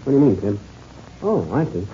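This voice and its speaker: Excitable hearty pilot, huge pitch swings, muffled hissy old radio drama. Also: male voice, fairly quick. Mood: thoughtful recall. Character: hearty, excitable adult American pilot, companion to a boy. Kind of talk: old-time radio adventure serial acting.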